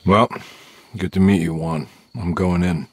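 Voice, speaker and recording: Deep-voiced, world-weary private detective. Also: male voice, fairly even intonation, unhurried, slightly rough. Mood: uneasy, dry. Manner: sardonic, dry. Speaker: video game voice actor. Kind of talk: cinematic game dialogue, noir detective.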